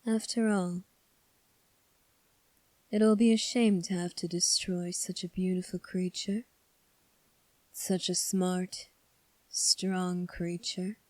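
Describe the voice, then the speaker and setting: A woman voicing a composed, coolly defiant young fantasy prince in an ASMR roleplay, speaking formally and deliberately with long pauses. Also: fairly low pitch, little pitch movement, darkish tone, dry recording. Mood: regretful, resolute.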